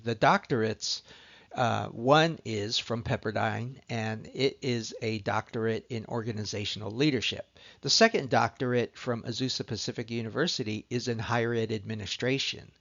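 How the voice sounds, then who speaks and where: Gravelly-voiced man giving a lecture intro. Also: lowish voice, a bit bright, lo-fi sound. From Southern California. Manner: measured, earnest, with frequent "uh" pauses. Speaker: course instructor. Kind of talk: recorded course introduction lecture.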